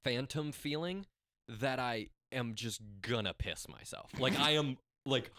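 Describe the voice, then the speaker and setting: A young man telling a rambling, halting story in a casual podcast. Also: medium pitch, pushed and bright, no room sound. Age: young adult. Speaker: podcast co-host.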